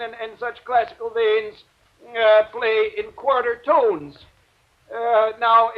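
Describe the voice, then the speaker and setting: A fussy, nervous concert host in a golden-age radio play. His voice sits extremely high, and he hems and haws apologetically in wordy, genteel phrases. The sound is dull and old. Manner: stammering, hesitant, wordy, genteel. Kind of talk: 1940s-50s radio drama acting, formal stage introduction. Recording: dull vintage radio recording.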